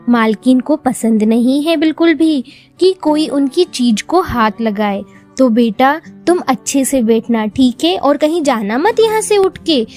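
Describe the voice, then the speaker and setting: A woman's voice: high voice, a little mellow. A woman playing a caring, anxious poor mother who works as a maid, speaking earnestly in a children's story with music behind. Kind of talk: acted children's moral story.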